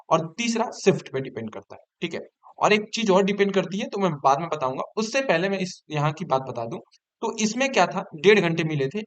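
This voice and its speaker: A man giving an informal explanation to exam candidates as an online presenter, repeating figures and key points for emphasis. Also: fairly high pitch.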